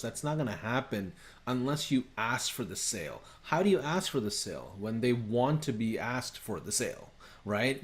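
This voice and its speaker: Male co-host, mid-pitched, emphatic and chatty on a video call. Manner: emphatic, conversational, tags lines with "right?". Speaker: workshop co-host. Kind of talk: live webinar discussion.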